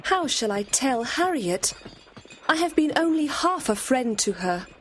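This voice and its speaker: An actress playing a proud, frank young gentlewoman in a dramatised period audiobook, speaking formally and emphatically. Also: sits high, big pitch swings, measured pace, slight background noise. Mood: troubled.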